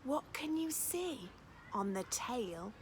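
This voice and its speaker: Woman, high-pitched, slow storytime delivery, expressive, encouraging, teacher reading to little ones, faint background noise. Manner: expressive, encouraging, dramatic emphasis with hushed asides. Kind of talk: animated children's storytime reading, chatty questions to listeners.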